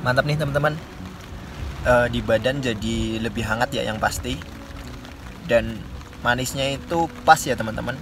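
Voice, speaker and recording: A man's voice: medium pitch, moderate pace, long pauses, over music. A man in his twenties from Indonesia, chatting casually to camera as a solo hiking vlogger inside a small tent. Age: 20s. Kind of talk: casual vlog chat to camera. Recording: clear background noise, outdoor ambience.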